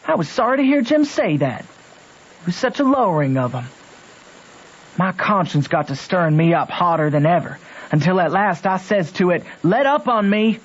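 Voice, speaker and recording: A man's voice: high voice, animated, normal speed. A man playing a conscience-torn backwoods boy with a Southern American accent and folksy, earnest speech in an old-time radio drama. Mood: troubled. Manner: folksy, earnest, ungrammatical rustic talk. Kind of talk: old-time radio drama, first-person narration and dialogue. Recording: dull old radio recording.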